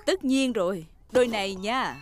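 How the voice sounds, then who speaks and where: A woman's voice: fairly high pitch, normal speed, lightly acted. A woman voicing a friendly, polite, helpful lady rabbit shopkeeper in a dubbed preschool cartoon. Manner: polite, obliging.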